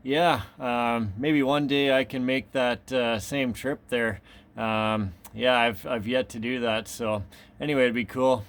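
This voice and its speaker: A man's voice: mid-range voice, steady tone, measured pace, slight background noise. A man chatting casually as a radio operator, in an affable, somewhat hesitant way. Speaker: amateur radio operator, video maker. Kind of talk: casual radio contact chat.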